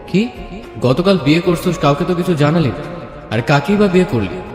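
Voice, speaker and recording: A man's voice: on the high side, animated, brisk, lightly acted. A man playing a curious, blunt young college friend in an audio story, teasing in colloquial speech. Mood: incredulous. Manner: colloquial, nosy. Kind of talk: Bengali audio story acting. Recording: echoey long reverb, music bed.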